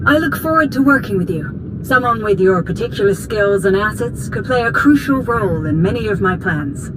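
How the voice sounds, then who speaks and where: A shrewd female Jedi master played by an actress speaks with formal, calculated command. It is a sci-fi game performance with clear noise in the background. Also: mid-range voice, animated, normal speed, natural voice. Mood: coolly cordial. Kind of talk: video game dramatic acting.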